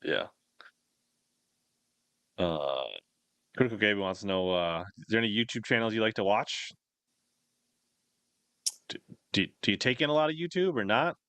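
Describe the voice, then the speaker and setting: A low-voiced man works as a livestream host, talking in a loose, rambling way. His questions come out conversationally, in a close, dry sound with no room on it. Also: animated, moderate pace, pauses often, fairly bright tone, smooth, dry recording. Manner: rambling, reads out viewer questions. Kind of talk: casual live-stream interview chat.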